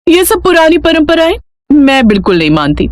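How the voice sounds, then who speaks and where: A woman's voice: high-pitched, very animated, normal speed, no echo, clean studio sound, pitched above the performer's own voice. A woman voicing a vain, bossy young bride in a moral storybook tale, haughty as she orders her elders around. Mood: defiant. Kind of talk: Hindi moral storybook character voice.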